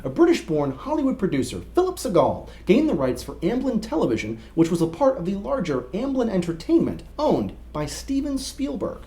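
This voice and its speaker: A man's voice: high-pitched, wildly swooping pitch, fairly quick, hardly any pauses, dark tone. A young adult man, co-hosting a scripted explainer to camera. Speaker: co-host. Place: small indoor room set with whiteboard.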